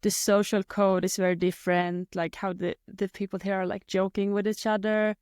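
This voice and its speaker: A young woman from Sweden, a native Swedish speaker with a Swedish accent, answering interview questions in a relaxed, hesitant way with many fillers. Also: medium pitch, moderate pace, clear, resonant tone, dry recording, clean studio sound. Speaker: guest athlete. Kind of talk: casual interview chat.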